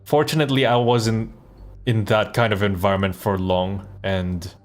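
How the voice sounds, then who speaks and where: A man giving casual live game commentary as a streamer, talking in a halting, reflective way. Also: lowish voice, flat delivery. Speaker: streamer and voice actor. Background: game music.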